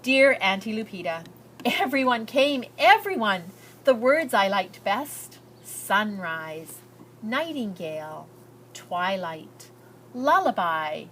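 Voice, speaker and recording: An earnest, shy young girl shares her letters in a storybook, voiced by a woman. The delivery is heartfelt and lilting, at a medium pitch. A little noise sits behind the voice. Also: very animated, slow, fairly bright tone. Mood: earnest, hopeful.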